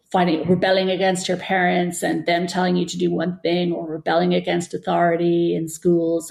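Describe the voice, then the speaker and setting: A woman's voice: lowish voice, vocal fry, darkish tone. A woman giving conversational interview answers as an author, explaining things in a discursive way, thinking aloud as she goes. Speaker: guest, children's author and former TV producer.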